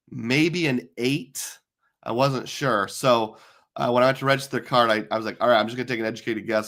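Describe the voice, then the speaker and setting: Man, hoarse, tired, middle-aged, chatty live-stream host. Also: medium pitch, a bit bright. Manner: chatty, rambling with many fillers. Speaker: livestream host. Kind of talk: casual live chat reading comments.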